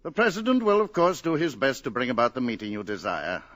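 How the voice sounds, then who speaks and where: An actor playing a polite, hesitant male government secretary in a 1950s radio drama, often pausing with "uh". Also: medium pitch, huge pitch swings, fairly bright tone. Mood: uneasy. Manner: polite, hesitant, with many uhs.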